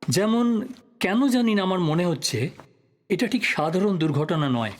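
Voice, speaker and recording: An actor playing an earnest, persistent journalist in an audio drama, speaking politely and probingly in a husky voice. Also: male voice, high-pitched, sing-song, normal speed. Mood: insistent, frustrated. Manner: polite, probing, musing to himself. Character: earnest, persistent male journalist probing his old teacher's death. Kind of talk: Bengali audio drama acting.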